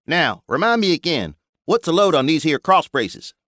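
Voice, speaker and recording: A plain-spoken bearded mechanic with a lazy country drawl, as a man puts him on, pitched well above the reader's own low voice. He sounds dry and close to the mic. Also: big pitch swings, moderate pace, a bit bright, no room sound. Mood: blunt. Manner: drawling rural dialect. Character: folksy bearded male mechanic, blunt.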